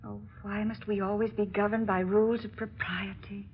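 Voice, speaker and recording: A woman's voice: medium pitch, flat delivery, normal speed, close to an everyday voice. A woman acting a devoted, romantic young princess in a melodramatic old-time radio drama. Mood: pleading. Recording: dull, noisy old radio recording.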